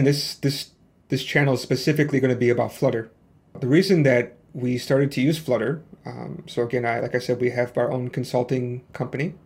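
A man's voice: medium pitch, a little mellow. A man in his thirties with a Chicago accent, hosting a casual solo podcast and rambling along with many fillers and restarts. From Chicago, USA. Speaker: podcast host.

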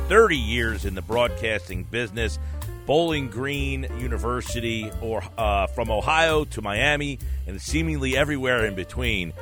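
A man's voice: medium pitch, a bit bright. Male radio-style podcast host over faint music. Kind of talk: polished radio-style hosting and sign-off.

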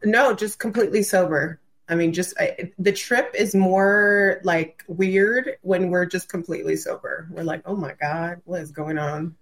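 A woman's voice: fairly low pitch, steady tone, measured pace, few pauses. A woman guest on a podcast, explaining her ideas in a casual, conversational way.

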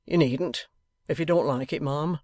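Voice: medium pitch, measured pace, a little mellow, no echo, clean studio sound, lightly acted. A storybook reader voicing a grave, plain-spoken older husband with an emphatic, firm and homely manner.

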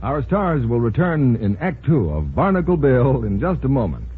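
A man's voice: mid-range voice, very animated, normal speed, loud music behind the voice. A man announcing and selling in polished, emphatic, scripted 1940s radio style. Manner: polished, emphatic sales delivery. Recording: narrow-band, noisy 1940s radio recording.

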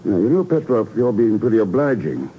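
An actor playing a cool, unflappable secret agent in a 1950s radio drama, dry and clipped. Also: male voice, fairly low pitch, very animated, brisk. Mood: cool, testing. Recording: muffled 1950s radio, faint noise.

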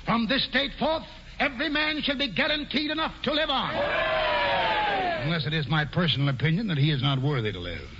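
A pompous scheming governor. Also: male voice, high voice, lively intonation, a little fast. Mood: oratorical. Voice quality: grandiose, mock-genial, sly. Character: pompous scheming male colonial governor, middle-aged. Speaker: governor Stuyvesant. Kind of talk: 1940s radio acting. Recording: muffled old radio broadcast, faint hiss.

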